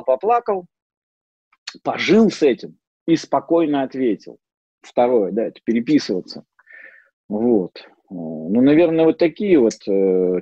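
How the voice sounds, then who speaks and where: Middle-aged guy lecturing, lots of ums and restarts, mellow husky voice. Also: mid-range voice, no echo. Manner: hesitant, many fillers and restarts. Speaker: psychologist giving a lecture. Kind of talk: informal lecture with personal stories. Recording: dull lo-fi dry sound.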